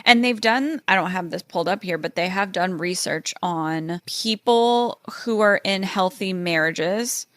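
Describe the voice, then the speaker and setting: A woman with a low-pitched voice hosts a casual podcast, walking through research in a chatty, easygoing manner. The sound is close and dry, with no room around it. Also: measured pace, few pauses, fairly bright tone, dry recording. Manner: chatty, laughs easily, explains research. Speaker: podcast host.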